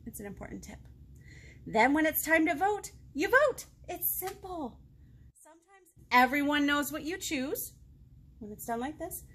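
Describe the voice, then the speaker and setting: An American woman with a high voice reads and chats as a children's librarian. She speaks expressively and keeps her phrasing simple, as if to a group of young children. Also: lively intonation, a little slow, fairly bright tone. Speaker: children's librarian hosting storytime. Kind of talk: children's storytime read-aloud and chat. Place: small indoor room, seated before a book display.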